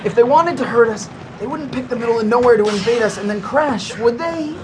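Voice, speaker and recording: A woman's voice: on the high side, a little fast, background noise, natural voice. A woman playing an eager, breezy, bold young amateur photographer in an audio drama. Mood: excited, daring. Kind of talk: full-cast audio drama acting.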